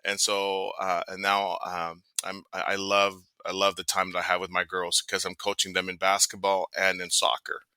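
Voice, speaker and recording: Very deep, bright-voiced man telling his story. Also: monotone, moderate pace, hardly any pauses, clear, resonant tone, no room sound. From American Samoa, later Seattle. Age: middle-aged. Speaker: podcast guest, youth sports coach and father. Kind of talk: casual personal storytelling in an interview.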